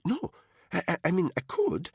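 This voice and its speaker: A man's voice: high-pitched, very animated, moderate pace, creaky, husky, no echo, studio quality, pitched above the performer's own voice, exaggerated voice. A man voicing Romano, a meek, nervous male driver who stammers and tries to please. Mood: flustered.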